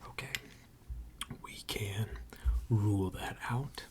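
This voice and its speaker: A person whispering an ASMR repair roleplay in a breathy, gentle and reassuring way, with unhurried, hesitant pauses. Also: deep voice, fairly even intonation. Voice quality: breathy, mostly unvoiced whisper. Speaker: ASMR creator role-playing a robot repair technician.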